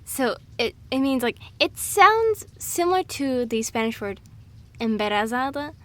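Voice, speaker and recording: High-pitched woman rambling casually. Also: big pitch swings, measured pace. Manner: scatterbrained, chatty, amused. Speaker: audio roleplay performer playing a rambling girlfriend. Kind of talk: casual rambling monologue of trivia.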